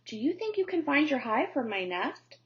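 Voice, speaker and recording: A woman voicing a calm, helpful male cardinal bird in a children's story. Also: high-pitched, moderate pace, voice pushed up. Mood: kindly. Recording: dull lo-fi recording.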